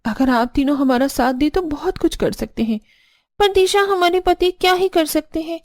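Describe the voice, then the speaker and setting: A woman's voice: sits high, normal speed, a little mellow, no room sound, clean studio sound, pitched above the performer's own voice, natural voice. A woman voicing a scheming, put-upon young daughter-in-law, complaining and conspiratorial.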